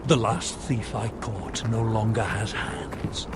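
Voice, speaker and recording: An actor playing a gruff, scornful drill master in a video game, commanding and scolding. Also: male voice, lowish voice, slow. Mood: stern, scornful. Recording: loud music behind.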